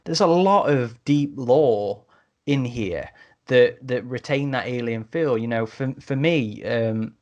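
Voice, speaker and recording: A man's voice: medium pitch, takes it slowly, lo-fi sound. A man talking casually as a podcast host, often repeating words as he searches for the next one.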